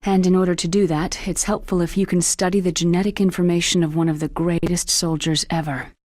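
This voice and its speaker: A woman voicing a composed, clinical scientist in a video game, explaining calmly and precisely. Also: on the low side, brisk, dry recording, studio quality, lightly acted.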